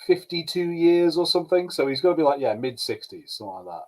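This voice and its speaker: Lively man with slightly high voice. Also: fast, poor audio. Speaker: podcast co-host. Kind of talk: casual chat, opinion.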